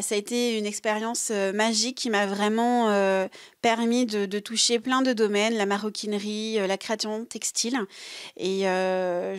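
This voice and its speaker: A woman's voice: fairly high pitch, dry recording, clean studio sound. A woman from France who runs a small craft business, explaining her work in her workshop with many hesitations and fillers. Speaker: craft maker. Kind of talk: workshop demonstration talk.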